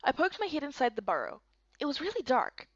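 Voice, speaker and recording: A woman's voice: high-pitched, very animated, a little fast, dry recording, highs cut off. A woman reading scripted first-person story narration for a visual novel game.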